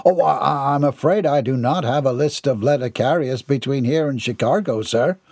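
A man's voice: mid-range voice, normal speed, a little mellow, husky, no room sound. A man voicing a polite, flustered old postmaster who hesitates and stammers in an audiobook.

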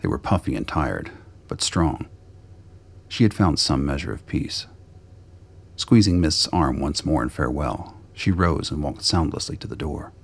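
A man with a gravelly voice reading scripted narration for a radio drama. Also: rumbling low, expressive, a little slow.

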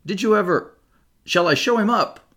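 Man putting on an excitable high-pitched landlady. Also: moderate pace. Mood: astonished. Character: excitable, gossipy English landlady.

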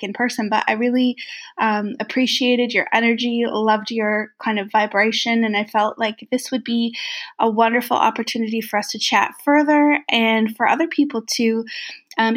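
A woman hosting an interview podcast, friendly and hesitant, with many ums and encouraging mm-hmms. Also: fairly high pitch. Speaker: podcast host. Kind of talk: casual interview.

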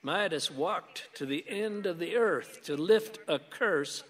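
A man's voice: high-pitched, lively intonation, slow pace, fairly bright tone, heavily acted. An actor playing a proud, paranoid old grandfather in a radio play, with a sardonic and ominous manner. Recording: reverberant hall.

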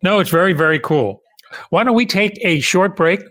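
A man's voice: high voice, lively intonation, moderate pace, a bit bright, no echo. A man hosting a podcast interview, asking friendly, conversational questions with some restarts. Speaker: podcast host.

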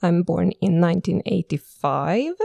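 A woman from Sweden speaking English with a Swedish accent, co-hosting a casual podcast and often pausing to search for words. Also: lowish voice, lively intonation, creaky, mellow, dry recording. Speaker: podcast co-host.

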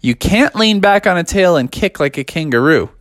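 A man's voice: sits high, lively intonation, normal speed, a bit bright, dry recording, clean studio sound. Man as slangy, encouraging boxing coach buddy. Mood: laid-back advising. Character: laid-back frog's boxing coach, a strategizing loyal buddy.

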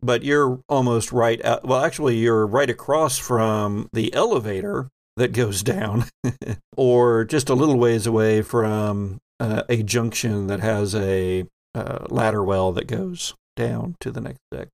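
Male game master, deliberate and slow, gravelly fry, very dry close sound. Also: mid-range voice, a little mellow, dry recording. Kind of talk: improvised tabletop game narration.

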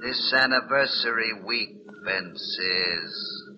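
An actor playing the sardonic, commanding ghost of a dead train engineer in an old radio horror drama. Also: male voice, fairly low pitch, faint music behind. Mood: sardonic, eerie. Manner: slow, ominous, taunting with chuckles. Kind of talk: 1940s radio horror acting. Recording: muffled old radio recording with noise.

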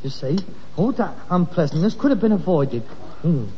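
Actor as a petulant London son, very animated. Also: male voice, fairly high pitch, normal speed, lightly acted. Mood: contented. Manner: indignant, emphatic, theatrical. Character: petulant grown-up son, touchy, devoted to late mother. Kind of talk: British sitcom acting. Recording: muffled, noisy, reverberant old sitcom recording.

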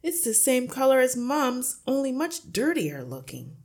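A loud young boy in a storybook, played by a woman reader. He is cheeky and blunt, and tends to exclaim his lines. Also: low-pitched, expressive, slow pace, breathy edge, full character voice. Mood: shocked, blunt.

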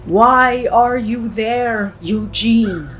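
Woman acting a slow, theatrical teenage séance leader. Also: a little high, fairly bright tone, slight background noise. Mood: solemn, summoning. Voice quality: husky. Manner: theatric, solemn, commanding. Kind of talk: horror audio drama acting.

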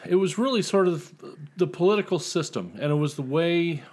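A man's voice: fairly high pitch, fairly quick, darkish tone. Tipsy, rambling American man. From Indiana, United States. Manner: rambling, self-deprecating, profane. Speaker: author, podcast guest. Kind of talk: casual chat.

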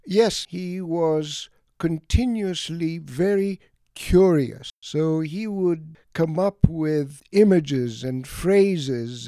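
An elderly man from Egypt and Greece, an academic guest reflecting on his life in a halting, deliberate way with many pauses. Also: fairly high pitch, dark tone, dry recording, studio quality. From Egypt and Greece, of Greek parents. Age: late 70s or older. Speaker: interview guest, economics academic. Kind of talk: reflective autobiographical interview talk.

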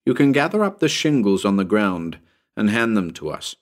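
A man's voice: lowish voice, very animated, moderate pace. A man voicing a firm, impatient, sarcastic man minding a child in a storybook.

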